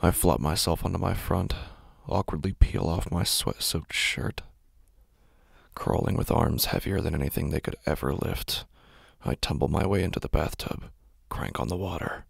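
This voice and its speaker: A man with a gravelly, husky voice, narrating first-person horror in a brooding, deliberate, intense manner, close on the microphone. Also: a bass voice, no echo. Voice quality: gravelly, husky, breathy at times.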